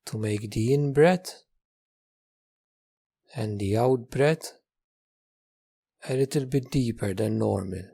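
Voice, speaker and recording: A man teaches a guided meditation in a mellow, creaky voice. He is calm and measured, offering gentle instructions at a very unhurried pace. Phrases return in slight variations, separated by long pauses. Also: mid-range voice.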